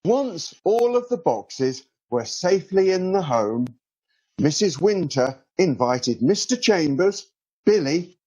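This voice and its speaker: A man narrating a children's storybook in an expressive, drawn-out storytelling delivery. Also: on the high side, breathy edge, low-quality recording. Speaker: author reading a children's story.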